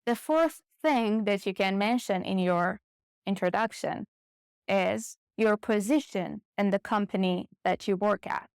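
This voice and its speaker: Slow, crisp-voiced English teacher woman. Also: mid-range voice, darkish tone, no room sound, studio quality. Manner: slow, clear, deliberate explanations. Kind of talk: online language lesson.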